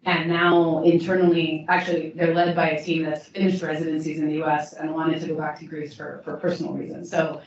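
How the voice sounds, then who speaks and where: A woman founder answering a panel question in a steady, measured way, with frequent restarts. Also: low-pitched, slight background noise. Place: event hall. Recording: distant mic in echoey hall, dull sound.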